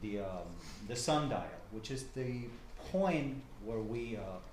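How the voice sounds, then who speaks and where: A man with an Argentine accent gives an informal lecture in English, his second language. He speaks in halting phrases with frequent restarts, at a middling pitch. The echoey room and a faint hiss come through behind him. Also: lively intonation, darkish tone. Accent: Argentine Spanish. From Argentina (Buenos Aires). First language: Spanish. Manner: halting, many fillers and restarts, asks the room questions. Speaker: guest speaker, journalist and professor.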